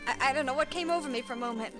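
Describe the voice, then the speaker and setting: A woman acting as a sweet, wistful young lady in an old radio play. Her voice is very high, with music behind it. She is polite and hesitant, pleading gently. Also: rapid-fire, natural voice. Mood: shy, earnest. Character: sweet, earnest young woman longing for a father.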